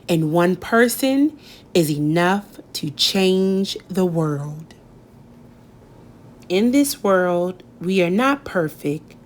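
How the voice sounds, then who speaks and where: A woman reading a children's picture book aloud, gentle and expressive, lifting her voice on key words. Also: mid-range voice. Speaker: storybook reader. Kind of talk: children's read-aloud narration.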